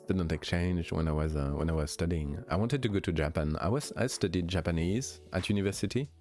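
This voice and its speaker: A young man with a light French accent, a streamer chatting casually with viewers, relaxed and hesitant with many fillers and restarts. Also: deep voice, fairly quick, faint music behind. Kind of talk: casual chat answering viewers.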